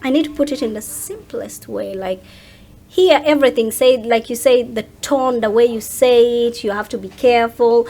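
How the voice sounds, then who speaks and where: Casting: woman with a Ugandan accent and a high voice, conversational interview guest who explains in an animated way. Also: normal speed. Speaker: interview guest, social media content creator. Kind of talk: casual interview chat.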